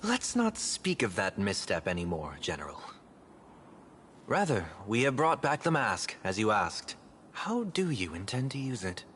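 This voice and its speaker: An actor playing a reserved, skeptical gentleman in a video game, speaking formally and with restraint. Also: lowish voice, wildly swooping pitch, a little slow, slight background noise, heavily acted. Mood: guarded, skeptical.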